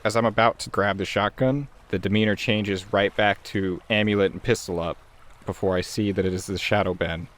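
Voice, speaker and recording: A man's voice: lowish voice, steady tone, brisk, fairly bright tone. A man playing a tabletop horror game, casually describing his character's moves and speaking in character.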